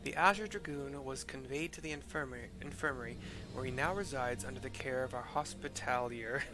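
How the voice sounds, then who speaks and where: A man voicing a formal woman military commander from a fantasy game, reading in a measured way. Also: mid-range voice, music in the background, close to an everyday voice. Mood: formal, reassuring.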